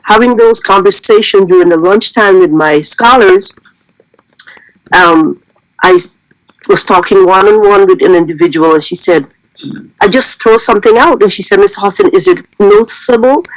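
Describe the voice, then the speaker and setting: A middle-aged woman shares heartfelt remarks with colleagues at a staff meeting. She is earnest and effusive, with a medium-pitched voice. The sound is dry, with little room in it. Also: normal speed, dry recording, rough recording. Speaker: school counselor.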